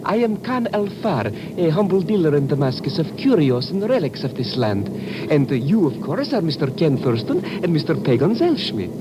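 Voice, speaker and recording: A very noisy old radio recording carries a sly, humble Middle Eastern curio dealer. His voice is fairly high and swoops widely. He speaks in flowery, hesitant phrases, oozing deference. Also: big pitch swings, moderate pace. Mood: ingratiating. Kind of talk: old-time radio drama acting. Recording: noisy vintage radio recording, engine rumble.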